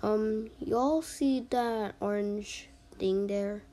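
A young person doing a slangy, teasing amateur voice-over for an animated cartoon. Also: medium pitch, extremely slow.

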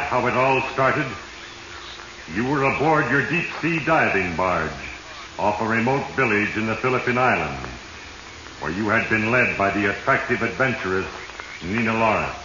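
All-knowing Fate, ominous, solemn, unhurried, portentous radio narration, muffled 1940s recording. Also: male voice, fairly low pitch. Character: sinister, all-knowing Fate reading his diary of mortals. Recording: echoey, muffled, noisy old radio.

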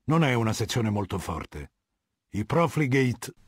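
Video game warlord, middle-aged. Husky, imperious, measured authority; dry studio sound. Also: male voice, medium pitch, very animated, no room sound. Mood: measured, stern. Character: cold, commanding middle-aged male warlord of a legion. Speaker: voice actor.

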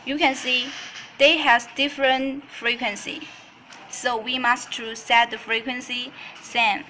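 Higher-pitched woman giving a halting product demo. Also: little pitch movement, pushed and bright, slight background noise. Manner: halting, repeats phrases while explaining in non-native English. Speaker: company product demonstrator. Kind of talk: product tutorial, semi-scripted demonstration. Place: indoors at a desk.

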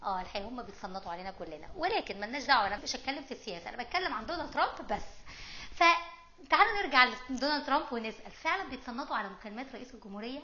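A woman's voice: on the high side, animated, racing, bright, forceful tone, muffled recording. A middle-aged woman with an Egyptian accent giving informal, emphatic explanatory commentary to camera, mixing in English terms. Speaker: host of a political explainer video series.

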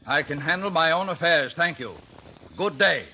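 A man's voice: fairly high pitch, moderate pace, over loud music, close to an everyday voice. An actor playing a proud, stern middle-aged American father, formal and authoritative, in 1950s radio drama style. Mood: curt. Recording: noisy vintage radio recording.

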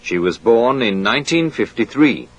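A man reading a scripted language course drill in a clear, measured way. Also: mid-range voice, expressive, rough recording.

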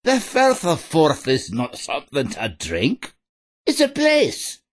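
A man putting on a hearty, scolding Scottish character with a husky voice. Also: fairly high pitch, huge pitch swings, slow pace, exaggerated voice. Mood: scolding, jovial.

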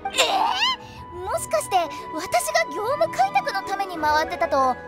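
A playful teenage girl funeral director from an anime game. Her voice sits extremely high and bounces around in lively swings. She is teasing and theatrical, sweet as a sales pitch, with loud game music underneath. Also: measured pace, heavily acted. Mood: animated, explaining. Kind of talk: Japanese anime game voice acting.

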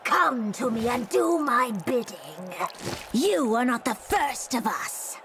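A ruthless female mutant warrior for a fighting game. Her voice is high and swings widely in pitch, with slow, deliberate threats and an imperious, commanding manner. Clear background noise sits behind the lines. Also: big pitch swings, full character voice. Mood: commanding, defiant. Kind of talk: video game character dialogue.

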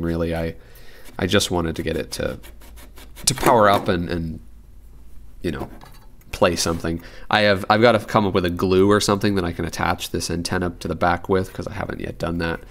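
A man with a very low voice and a lot of vocal fry talks through a repair demonstration. He is informal and unscripted, rambling a little as he thinks out loud at his workbench. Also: a little hiss or hum. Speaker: radio repair hobbyist and presenter. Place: indoors at a desk in an apartment living room.

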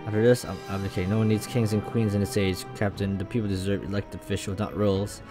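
A man's voice: on the low side, little pitch movement, fast, music in the background, natural voice. A man voicing an earnest, plainspoken young woman, a royal mech pilot in a visual novel. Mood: firm, weary.

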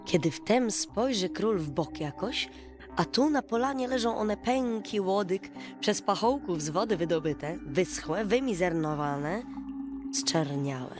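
A woman storyteller reading a bedtime fairy tale in an expressive, dramatic, folksy way. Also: medium pitch, takes it slowly. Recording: music behind the voice. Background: music.

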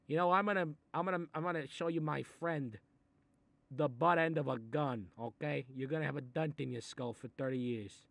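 A man playing a gruff, sarcastic Italian-American mob boss in a comic monologue, exasperated and muttering threats as he reads a picture book aloud. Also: fairly high pitch, moderate pace, a bit bright, no echo. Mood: menacing, grudging. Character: short-tempered, sarcastic Italian mob boss babysitting a kid. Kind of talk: comic improvised character monologue.

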